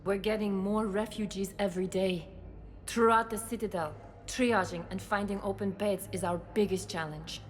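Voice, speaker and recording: A woman's voice: medium pitch, fairly even intonation, normal speed, lightly acted. A woman playing a composed, courteous, professional doctor in a video game.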